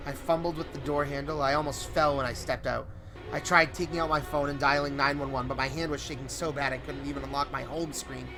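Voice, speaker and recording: A man narrates a first-person horror story in a dramatic, suspenseful manner. His pitch sits a little higher than most men's. Music plays clearly underneath the voice. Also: steady tone, brisk.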